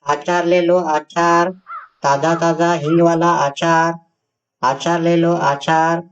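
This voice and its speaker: A man's voice: on the high side, flat delivery, slow pace, smooth, highs cut off, pitched above the performer's own voice. Man voicing a distant-sounding, proud village mother. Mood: calling out wares. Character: poor, proud, hardworking village mother selling homemade pickles. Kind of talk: acted cartoon moral story. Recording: distant voice over faint music.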